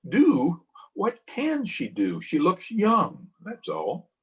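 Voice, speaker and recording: A man's voice: sits high, wildly swooping pitch, takes it slowly, a bit bright, full character voice. A man playing a doting, reassuring husband in an old-time radio play. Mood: defensive. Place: home, over a video call.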